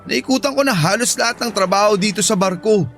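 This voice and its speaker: A man plays a generous, caring shipmate in an audio drama. His voice is notably high for a man, with an easygoing, encouraging delivery. Faint music sits behind it. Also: fairly quick, fairly bright tone. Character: caring, generous male Filipino shipmate and buddy. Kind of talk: Filipino audio drama acting.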